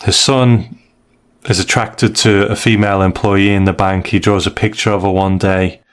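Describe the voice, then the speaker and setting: A man's voice: deep voice, little pitch movement, normal speed, clear, resonant tone. A man hosting a podcast, talking through stories in a casual, slightly hesitant conversational way. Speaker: podcast host. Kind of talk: casual comic review discussion.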